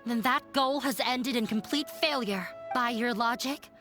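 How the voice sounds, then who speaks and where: A wounded but resolute young woman from a video game. Her voice is high and earnest, her delivery measured and firm, with faint music underneath. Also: bright, forceful tone. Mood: cold, determined. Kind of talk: dramatic video game voice acting.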